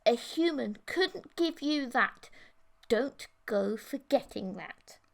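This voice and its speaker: Audiobook role: alien mantis girlfriend, played by a woman, high voice, clingy, coy, insistent manipulator. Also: animated, takes it slowly, creaky, exaggerated voice. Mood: coaxing, possessive. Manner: coaxing and insistent. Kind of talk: audiobook character voice.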